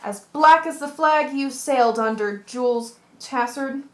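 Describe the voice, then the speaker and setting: A woman's voice: sits high, a little slow, a bit bright. A woman playing a sensible, stubborn castaway in an old-fashioned radio adventure play. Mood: sober. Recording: roomy, echoing recording.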